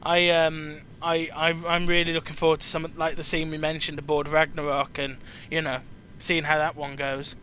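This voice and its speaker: A man with a notably high, bright voice hosts a casual video game chat. He speaks haltingly, doubling back on words, over a rumbling background noise. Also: completely flat delivery. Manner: halting, repeats words as he searches for phrasing. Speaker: chat show host.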